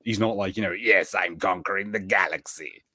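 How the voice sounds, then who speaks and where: Low-voiced rambling male co-host. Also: moderate pace, no room sound, clean studio sound. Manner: rambling, many "like" fillers, animated. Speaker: podcast co-host. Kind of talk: casual chat.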